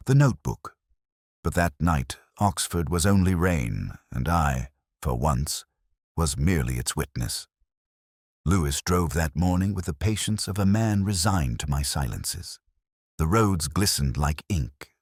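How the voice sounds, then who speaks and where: A man playing a weary, melancholy older police detective, narrating an audio drama in a measured, reflective, literary way. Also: a bass voice, slightly rough, no room sound, studio quality, full character voice.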